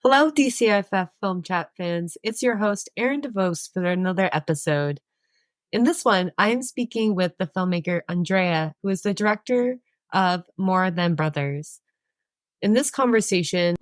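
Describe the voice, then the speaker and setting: A woman's voice: on the low side, animated, normal speed, no echo, clean studio sound. Upbeat woman podcast host. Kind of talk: scripted intro, then casual interview chat.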